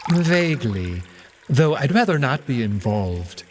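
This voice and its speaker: A man's voice: mid-range voice, sing-song, a little slow, a little hiss or hum. A man voicing a haughty, wounded male cat courtier in a visual novel, speaking primly and formally with a guarded, peevish air. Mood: guarded, aloof. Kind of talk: visual novel character acting.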